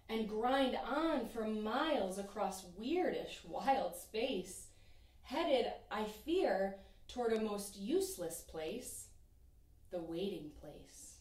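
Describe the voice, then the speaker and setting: A young woman teacher reading a rhyming children's picture book aloud in an expressive, sing-song way. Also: mid-range voice, distant mic. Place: small room in front of a painted backdrop. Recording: echoey room, voice from off-mic.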